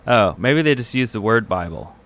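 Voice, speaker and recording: A man's voice: lowish voice, lively intonation, normal speed, fairly bright tone. A man hosting a podcast in a casual, easygoing and agreeable way. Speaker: podcast host.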